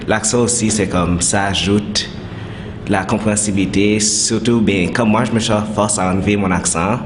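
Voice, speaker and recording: A man narrating an educational piece, then speaking casually in a halting way. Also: on the low side, steady tone, noisy background. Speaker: narrator and interviewee. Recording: music under the voice.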